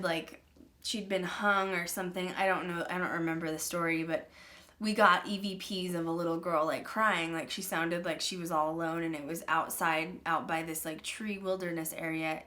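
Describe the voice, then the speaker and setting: A woman's voice: lowish voice, steady tone, normal speed, bright, forceful tone. A young adult woman hosting a paranormal channel, talking casually to her viewers, chatty and full of "um" and "like". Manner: chatty, peppered with "um" and "like". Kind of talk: casual vlog talk to viewers.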